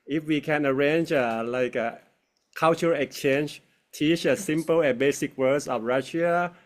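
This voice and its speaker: A man with a Thai accent, hosting a casual English conversation and speaking haltingly with many fillers. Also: a little high. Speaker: host.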